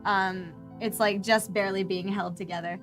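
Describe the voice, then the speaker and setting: A woman with a mid-pitched voice narrates as a player in a tabletop game. She improvises in casual, slangy, excitable phrases, with soft music underneath. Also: normal speed.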